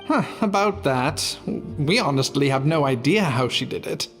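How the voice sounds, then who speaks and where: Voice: medium pitch, lively intonation, normal speed, close to an everyday voice. Anime game role, acted: earnest kitchen junior, mid-range voice, sincere explaining tone, music behind.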